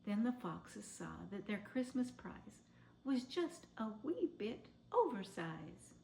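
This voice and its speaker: A woman reading a children's story aloud in a theatrical, measured, expressive way. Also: medium pitch, vocal fry, a little hiss or hum. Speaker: storybook reader. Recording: roomy, echoing sound.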